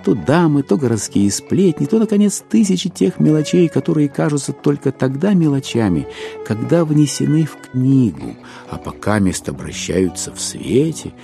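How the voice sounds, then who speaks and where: Animated, mellow male narrator. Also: a little high, gravelly, soft background music. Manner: expressive, with deliberate dramatic phrasing. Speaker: narrator of an audio drama. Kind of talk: theatrical literary narration.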